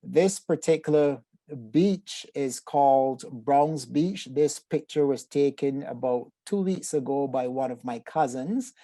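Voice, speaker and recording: Barbadian male therapist presenting, mellow tone, dry close sound, measured formal delivery. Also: medium pitch, no echo. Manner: measured, formal phrasing with frequent fillers. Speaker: psychotherapist presenter. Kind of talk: webinar presentation.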